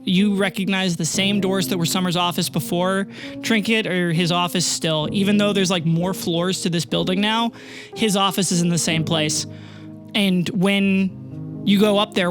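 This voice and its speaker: A person improvising narration as a game master in a tabletop game, speaking casually with some swearing. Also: mid-range voice. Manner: casual, profane. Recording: music under the voice.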